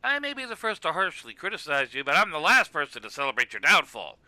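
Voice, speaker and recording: A man's voice: fairly high pitch, very animated, quick pace, bright, forceful tone, dry recording, full character voice. A man acting a heartfelt radio co-commentator in a web cartoon, speaking in an earnest, emphatic, speechifying way. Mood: passionate. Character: loud heartfelt radio co-commentator consoling his rival. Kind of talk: animated web cartoon dialogue.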